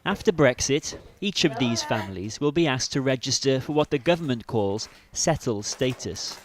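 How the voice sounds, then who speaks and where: A woman reporter reading scripted news narration in a measured, clear delivery. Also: very deep voice, lively intonation.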